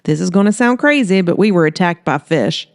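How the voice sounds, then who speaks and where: A woman's voice: fairly low pitch, lively intonation, a little fast. Woman putting on a feisty, flirty small-town gal. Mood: confident.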